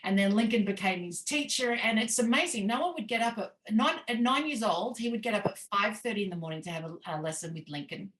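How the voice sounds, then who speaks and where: A woman's voice: medium pitch, expressive, fairly quick, hardly any pauses, background noise. Chatty woman in an echoey room. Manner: chatty, rambling, many ums. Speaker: networking meeting host. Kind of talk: casual introduction on a video call.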